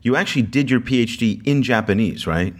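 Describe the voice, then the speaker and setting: A man hosting a podcast, talking in a relaxed conversational way and telling stories with frequent fillers. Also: mid-range voice, a little fast. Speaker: podcast host.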